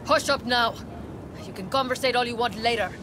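A woman's voice: fairly high pitch, brisk, lightly acted. A woman playing a tough, no-nonsense nomad leader in a video game, blunt, commanding and folksy. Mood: scolding. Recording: loud outdoor game ambience noise.

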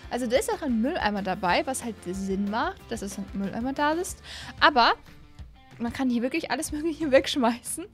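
A young woman hosting a gaming video, giving casual, animated and excitable commentary into a close desk microphone. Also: sits high. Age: young adult. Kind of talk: casual let's-play commentary. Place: small indoor streaming room. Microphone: close desk microphone on an arm. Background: faint music.

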